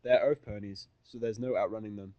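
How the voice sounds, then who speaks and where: A man's voice: fairly low pitch, steady tone, a little fast, no room sound, highs cut off. A man reading first-person, present-tense fantasy fan fiction as the narrator.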